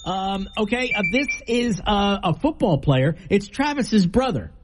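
A man's voice: sits high, expressive, a little slow. A man hosting a morning radio show, joking with his co-host and running a call-in game. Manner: jokey, laughs often, reads ads.

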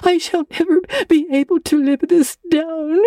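Weepy little schoolgirl from a storybook, super high, stammery, so dramatic. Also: steady tone, a little slow, warm tone, no echo, studio quality. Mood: tearful despair. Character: melodramatic, anguished young schoolgirl.

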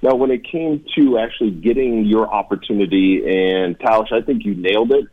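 A man's voice: lowish voice, normal speed, phone-quality sound. An American man, a former college football player, talking earnestly and emphatically as a guest in a conversational sports radio discussion.

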